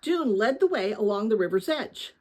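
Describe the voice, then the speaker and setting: A woman reading a children's novel aloud as dramatic, expressive story narration. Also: medium pitch, moderate pace. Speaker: audiobook-style reader of a children's novel.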